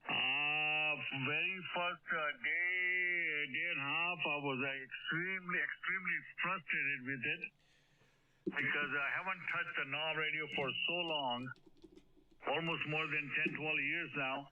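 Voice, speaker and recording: A man on a ham radio net, chatting casually and haltingly, with many fillers and repeated words. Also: fairly high pitch, fairly even intonation. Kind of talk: casual on-air radio chat. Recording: dull radio audio with clear static.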